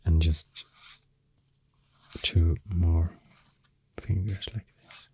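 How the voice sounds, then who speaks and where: A man with a Swedish accent speaking in a deep, soft, half-whispered ASMR ramble, halting and correcting himself, close to the microphone. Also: fairly even intonation, husky. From Sweden. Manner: hesitant, slow, halting, self-correcting. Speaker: ASMR artist.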